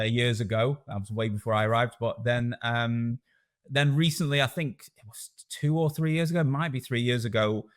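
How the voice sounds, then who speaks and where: A man's voice: mid-range voice, fairly bright tone. A man from Stoke in England with a Stoke accent, a guest in a casual interview, talking in a rambling, self-correcting way. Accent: Stoke-on-Trent English. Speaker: podcast guest, school director.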